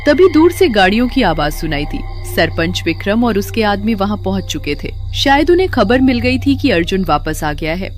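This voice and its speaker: A woman narrates a horror story in a dramatic, scripted style. Her mid-pitched voice moves widely up and down, with faint music underneath. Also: big pitch swings, normal speed, few pauses.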